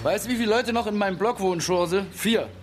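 An actor playing a gruff, sarcastic working-class amateur boxer stuck in life, blunt and slangy in a radio drama. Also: male voice, high-pitched, fast talker, noisy background, natural voice. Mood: scornful, resigned. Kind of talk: naturalistic German radio play acting.

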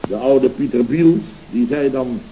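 Male actor as pompous contractor, dark soft-edged voice, grandiloquent, indignant, rambling, radio comedy, muffled old recording. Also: mid-range voice, a little slow, a little hiss or hum, natural voice. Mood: smug, self-amused. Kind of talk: scripted radio comedy acting.

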